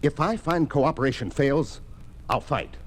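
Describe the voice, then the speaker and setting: An actor playing a reluctant, protesting farmer's son pushed into politics in a 1950s radio drama. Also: male voice, a little high, a little slow, natural voice. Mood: pleading, earnest. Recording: noisy old 1950s radio recording.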